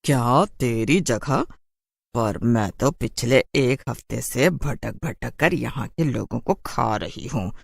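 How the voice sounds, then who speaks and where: A woman's voice: a bass voice, lively intonation, takes it slowly, vocal fry, husky, no room sound, studio quality, heavily acted. A woman playing a haughty, mocking city witch in a horror cartoon, with a taunting drawl. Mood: complaining, dismissive.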